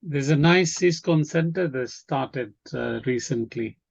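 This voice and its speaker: A man from Malawi, a member of a study group, chatting in a relaxed way with short agreeing replies. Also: mid-range voice, a little slow, creaky, breathy edge. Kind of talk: casual discussion. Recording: dull lo-fi recording.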